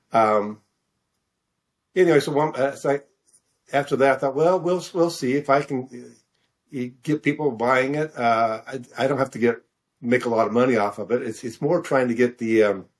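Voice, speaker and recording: Halting, hesitant man in an interview. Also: medium pitch. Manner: halting, hesitant, frequent throat-clearing. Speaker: guest author. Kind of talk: interview conversation.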